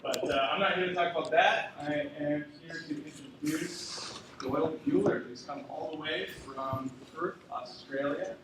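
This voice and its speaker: A man introducing a speaker at a conference in a casual, halting way full of fillers. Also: fairly high pitch. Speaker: event emcee. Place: conference room. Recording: distant mic in echoey room, noisy.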